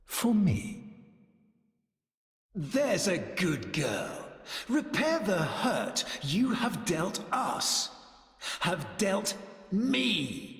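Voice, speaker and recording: An actor playing a cruel, possessive and grandiose villain in a video game, speaking theatrically and with menace, like a wounded lover. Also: male voice, a little high, heavily acted. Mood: coldly coaxing. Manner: theatrical, menacing, swinging from hushed to bellowing. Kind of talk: dramatic video game voice acting. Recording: echoing hall-like reverb.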